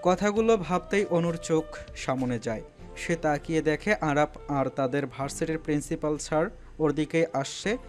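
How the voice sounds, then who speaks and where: Higher-pitched male story narrator. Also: moderate pace, soft background music. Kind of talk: scripted dramatic story narration.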